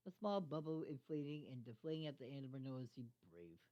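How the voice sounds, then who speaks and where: Amateur narrator reading fanfic out loud, trips on words and repeats bits, muffled lo-fi mic, mid pitch. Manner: halting, stumbles over words and repeats phrases. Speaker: fan fiction reader. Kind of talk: amateur read-aloud narration of a fan fiction story. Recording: dull lo-fi microphone sound.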